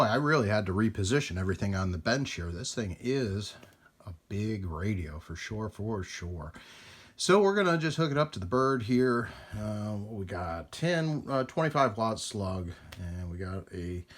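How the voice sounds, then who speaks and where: A man hosting a casual hands-on demonstration of vintage radio gear, talking in a rambling, folksy way with hesitations as he works. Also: fairly low pitch. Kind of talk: casual hands-on vlog narration.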